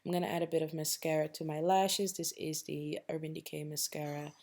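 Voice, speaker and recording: A woman with a raspy voice narrating a casual step-by-step makeup tutorial, chatting straight to her viewers and checking in with them often. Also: low voice, flat delivery, brisk, darkish tone. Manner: chatty, addresses viewers directly, tags lines with "okay?".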